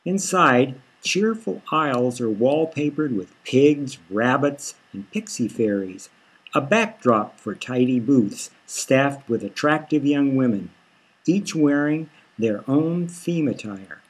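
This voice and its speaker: Male audiobook narrator, author-read satirical fiction; husky, unhurried delivery. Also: medium pitch, animated, rough recording. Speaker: author reading own novel.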